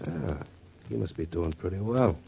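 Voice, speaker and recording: Sly crime boss, low mellow voice, needling, folksy, muffled 1940s radio drama. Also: male voice, animated, normal speed, gravelly, breathy edge, exaggerated voice. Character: genial but scheming peanut-munching racketeer boss.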